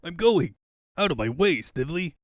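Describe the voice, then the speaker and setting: Pompous cartoon tyrant played by a man; grandiose, menacing, big pitch swings, close dry sound. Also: big pitch swings, normal speed, no echo. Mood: panicked blustering. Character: tyrannical pompous villain, male mad doctor, bombastic and bullying.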